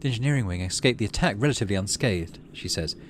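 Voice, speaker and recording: A low-voiced man plays host to a video game, moving between reading narration aloud and muttering his thoughts. His pitch moves around a lot, and there is clear background noise on the recording. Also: expressive, quick pace. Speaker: let's play host. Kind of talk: casual gaming commentary mixed with reading game text aloud.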